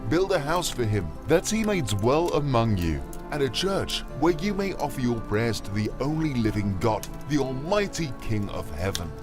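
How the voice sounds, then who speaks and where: A man's voice: mid-range voice, very animated, normal speed, hardly any pauses, music in the background, natural voice. A man playing a fervent, solemn missionary priest in an audio drama, declaiming in formal archaic speech. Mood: solemn, commanding. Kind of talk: audio drama acting of a classic tale.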